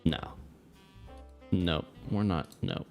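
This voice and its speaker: A man's voice: deep voice, very slow, vocal fry, a little mellow, faint music behind. A man hosting a livestream as an air traffic controller, chatting and explaining in a laid-back, informal way, with some radio phraseology.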